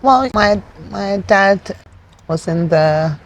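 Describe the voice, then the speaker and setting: A woman from Nigeria speaks as an interview guest at a middling pitch. She talks plainly and earnestly, pausing with fillers as she explains. A faint hiss of background noise sits behind her voice. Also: lively intonation. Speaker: interview guest, community project founder. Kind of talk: conversational interview.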